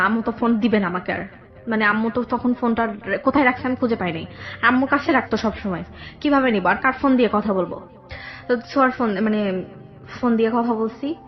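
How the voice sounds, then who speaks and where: A young woman with a Bangladeshi accent telling a personal story conversationally, haltingly, often circling back over details. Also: a little high, lo-fi sound. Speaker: guest telling her life story.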